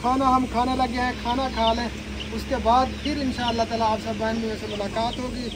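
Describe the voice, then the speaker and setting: A man's voice: very high voice, little pitch movement, fairly quick, heavy background noise. A man, chatting casually to viewers while filming himself outdoors in a village. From Pakistan. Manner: chatty, pious, showing things to viewers. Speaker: vlogger. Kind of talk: casual village vlog narration. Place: outdoors in rural fields and a village courtyard. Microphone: phone held at arm's length.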